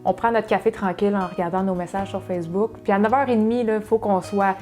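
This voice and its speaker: Québécoise woman, 30s, chatty co-host, mellow tone. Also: medium pitch, fairly even intonation, faint music behind. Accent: Québécois French. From Quebec, Saguenay. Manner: chatty, fast, with many fillers and restarts. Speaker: cooking channel co-host. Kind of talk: casual Q&A chat.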